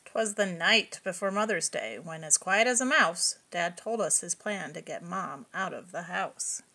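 A woman reading a picture book aloud to young children, expressive and explaining things simply. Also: lowish voice, unhurried. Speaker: preschool story reader.

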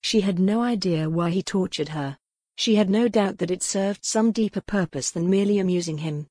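A woman's voice: mid-range voice, moderate pace, dark tone, dry recording, studio quality. A woman audiobook narrator reading dramatic fiction from a script.

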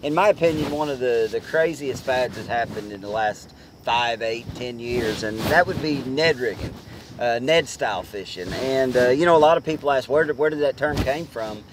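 A man in his forties giving casual fishing tips to the camera from a boat on a lake, talking in a relaxed, chatty way with many fillers. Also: mid-range voice, normal speed, noisy background. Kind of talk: casual how-to talk to camera.

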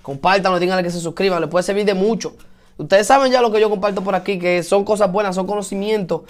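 High-voiced Dominican man chatting live. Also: fast. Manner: chatty, greets viewers and answers their comments; lively and encouraging, repeats himself for emphasis. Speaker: host of a live stream for musicians. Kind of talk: casual live-stream chat.

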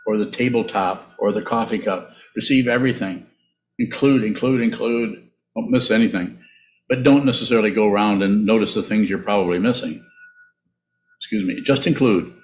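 An older man speaks in a low voice, as a Buddhist teacher would in an informal talk. He is reflective and halting, often repeating a word as he goes. The sound is a roomy, echoey video call. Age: older, around 70s. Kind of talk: informal dharma talk and answering questions. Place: small indoor room, on a video call.

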